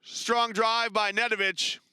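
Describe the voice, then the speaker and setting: Guy doing basketball play-by-play, bright crisp voice, dead dry sound. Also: high-pitched, no room sound. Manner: brisk, chatty, rises with excitement on big plays. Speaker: play-by-play commentator. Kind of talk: live basketball commentary.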